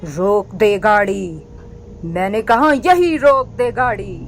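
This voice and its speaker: A woman voicing a vengeful female witch in a horror cartoon story, with slow, drawn-out, commanding threats. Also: mid-range voice, slight background noise. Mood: menacing, commanding. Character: menacing vengeful village witch, female ghoul hunting young men.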